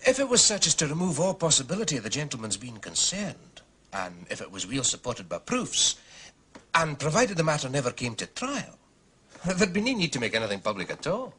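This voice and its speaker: An actor playing a fatherly Scottish policeman in a period drama, courteous, deliberate and evasive in his official phrasing. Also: medium pitch, big pitch swings, a bit bright, breathy edge. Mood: guarded. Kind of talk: British television period drama acting.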